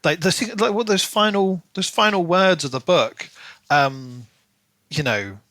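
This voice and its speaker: A man's voice: high-pitched, unhurried, pushed and bright, dry recording. A man co-hosting a podcast, talking through his opinions in a casual, analytical book discussion. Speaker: podcast co-host.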